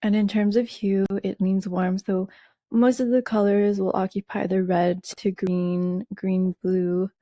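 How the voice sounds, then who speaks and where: Woman host, raspy, mellow tone, dry close sound, calm explainer. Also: mid-range voice, dry recording. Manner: measured, friendly explaining with fillers and restarts. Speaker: color analysis host. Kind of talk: explaining over a slideshow.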